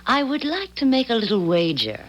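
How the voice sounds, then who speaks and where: An actress playing a smug, worldly seductress with a mocking, condescending manner in a 1970s radio drama. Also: female voice, on the high side, very animated, fairly quick, highs cut off. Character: smug, worldly, taunting seductress, vain and confident.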